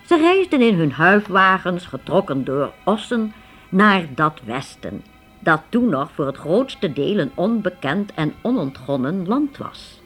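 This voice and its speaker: A woman narrating a radio play as a storyteller, with dramatic, measured scripted delivery. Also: lowish voice, husky.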